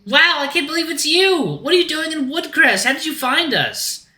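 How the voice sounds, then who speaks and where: Eager young male storybook animal voiced by a man, friendly and bubbly, pitched far up, bright delivery. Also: big pitch swings, normal speed, roomy sound, heavily acted. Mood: amazed, delighted.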